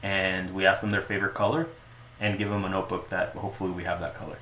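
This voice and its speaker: A man talking casually as an interview guest about the club he runs, relaxed and joking, with lots of restarts and fillers. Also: low-pitched, quick pace. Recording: echoey room with faint noise.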